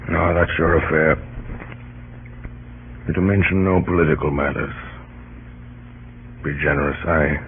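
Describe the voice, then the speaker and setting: An actor playing a weary, humane, solemn president with a grave, gentle, deliberate manner in a 1930s radio drama. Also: male voice, very deep voice, fairly even intonation. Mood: grave, kindly. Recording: scratchy, narrow-band 1930s radio recording with noise.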